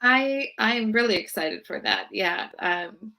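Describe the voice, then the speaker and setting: A woman's voice: medium pitch, huge pitch swings, slow, pushed and bright. An American woman in her thirties, chatting casually as a guest in an interview. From United States, Seattle area. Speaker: podcast guest, birth worker.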